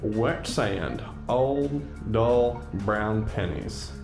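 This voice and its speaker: A man's voice: fairly low pitch, animated, very slow, creaky, music in the background, heavily acted. A man playing a cranky, rueful old professor in a comedic radio drama, grumbling in a plain, folksy, self-deprecating way. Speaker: student radio drama actor.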